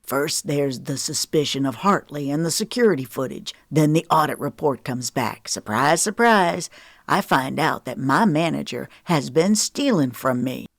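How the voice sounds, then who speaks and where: A bitter, accusing man with a hard, sarcastic bite, as a woman narrator puts him on. The voice sits low and dry, with no room sound around it. It is audiobook dialogue. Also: measured pace, creaky, slightly rough, no room sound, full character voice. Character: angry betrayed adult man, cold and accusing.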